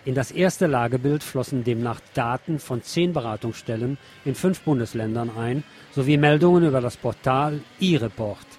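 A man's voice: mid-range voice, moderate pace, few pauses, a little mellow. A man reading scripted news and press reports as a radio presenter.

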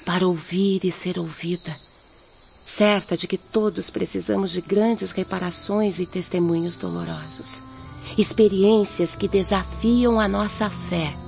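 An actress with a Brazilian accent playing a gentle, devout young peasant woman who speaks in an earnest, consoling, measured way in a radio drama. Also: fairly low pitch, lively intonation, creaky, faint music behind. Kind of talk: Portuguese-language radio drama acting. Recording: muffled lo-fi audio, night insects, noise.

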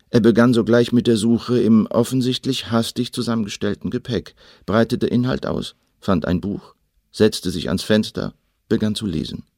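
Radio drama actor, male: secret agent, lowish voice, close dry sound, clipped matter-of-fact reporting. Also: warm tone, no echo, lightly acted. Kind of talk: radio play report narration.

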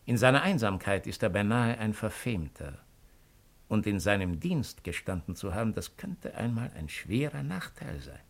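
An actor playing a shrewd, cynical imperial agent of ancient Rome in a 1950s German radio play, with a mocking, deliberate, rhetorical delivery. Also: male voice, on the low side, very animated, creaky. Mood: knowing, warning.

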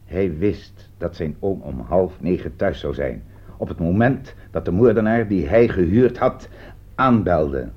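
Deep-voiced, shrewd police commissioner. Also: male voice, animated, a little slow, heavily acted. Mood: stern, probing. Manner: emphatic, methodical, interrogating. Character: shrewd, accusing male police commissioner laying out a murder. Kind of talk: radio play acting. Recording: dull lo-fi radio recording.

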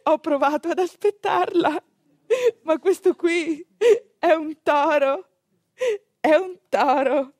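Woman acting a tearful, apologetic new mother, high-voiced. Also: slow, a little mellow, dry recording, clean studio sound. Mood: tearful apology. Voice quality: tearful, wavering. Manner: pleading, apologetic.

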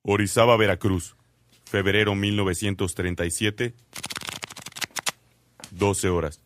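A male radio drama announcer reads terse headings gravely. His voice is low and slow, with noisy effects behind it. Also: steady tone. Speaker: scene announcer.

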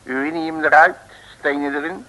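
An actor playing a blustering, muddle-headed detective who grumbles bossily in an old radio comedy serial. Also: male voice, fairly high pitch, flat delivery, normal speed, sharp tone, poor audio. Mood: exasperated. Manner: bossy, colourful grumbling. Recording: vintage mid-century radio recording.

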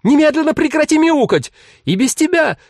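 Audiobook part male reader: exasperated mother, hesitant, bewildered, animated inflection, dry sound. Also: medium pitch, brisk, vocal fry, husky, dry recording, full character voice. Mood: exasperated shouting. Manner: hesitant, sighing, snapping under strain.

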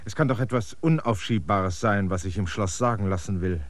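Ein Schauspieler spielt in einem Hörspiel einen erschöpften, flehenden Landvermesser, der drängend und bittend spricht. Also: male voice, on the low side, brisk, natural voice. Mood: insistent. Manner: pleading, clinging, insistent. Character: weary stranded male land surveyor, pleading and insistent. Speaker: land surveyor character. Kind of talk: radio play acting.

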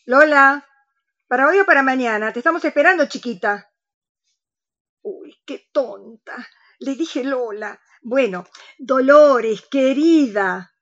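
A woman's voice: high voice, a bit bright, dull audio, full character voice. A woman playing a bossy, vivacious older lady in a comedy radio play, with a Rioplatense Argentine accent and an exclamatory, theatrical manner. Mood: flustered, chirpy.

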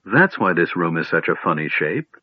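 A man voicing an excitable, curious, childlike male kender in a storybook reading, talking in eager bursts. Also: low voice, moderate pace, breathy edge, no echo, full character voice. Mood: wondering. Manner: breathless, eager exclamations. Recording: dry tinny phone-like audio.

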